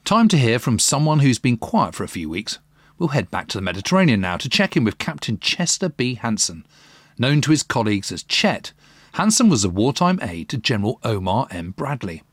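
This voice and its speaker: A man's voice: mid-range voice, very animated, brisk, breathy edge, dry recording, clean studio sound. A man presenting scripted narration that introduces historical diary readings. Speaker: podcast presenter.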